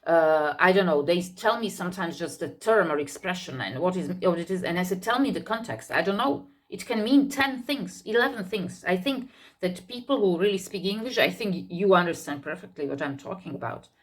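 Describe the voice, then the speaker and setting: Low-voiced woman teaching English. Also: lively intonation, brisk. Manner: thoughtful, searching for words, repeats herself. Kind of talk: unscripted video lesson.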